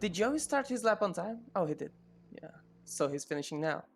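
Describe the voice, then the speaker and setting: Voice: mid-range voice, brisk, vocal fry. A co-commentator chatting casually during an online race broadcast, joking and laughing often. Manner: playful, laughs often, lively swooping exclamations.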